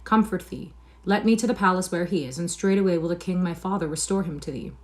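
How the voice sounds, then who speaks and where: A woman's voice: on the low side, lively intonation, a little fast, darkish tone. Haughty little boy prince, stiff and formal, read by a woman. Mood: proud. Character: proud young boy prince, indignant and dignified.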